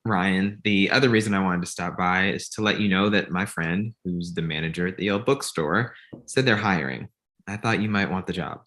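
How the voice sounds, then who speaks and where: A man's voice: low voice, normal speed, fairly bright tone. A man playing a self-assured, friendly young friend with an easygoing manner in a comedy table read. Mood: polite.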